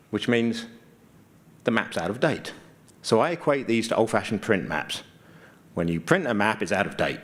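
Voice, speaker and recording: A man giving an informal conference presentation, explaining things conversationally with dry, understated humor. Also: on the low side. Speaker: conference speaker, GIS mapping consultant.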